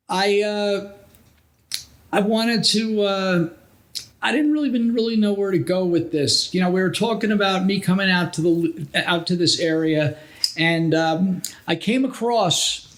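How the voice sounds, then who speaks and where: A middle-aged man with a notably high-pitched voice hosts a podcast. He talks in a casual, conversational way, wandering and halting as he reminisces. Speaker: podcast host and wrestling veteran.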